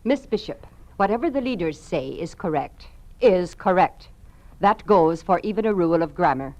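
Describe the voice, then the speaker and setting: Strict dogmatic informer woman, slightly low voice, old radio drama. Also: expressive, normal speed, darkish tone. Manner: clipped, dogmatic, insistent. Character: rigid female party informer, doctrinaire and cold.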